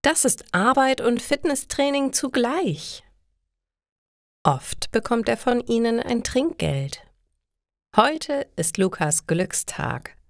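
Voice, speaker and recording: A woman narrating a scripted story for language learners in a deliberate way, one short sentence at a time. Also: fairly high pitch, vocal fry, no room sound, clean studio sound.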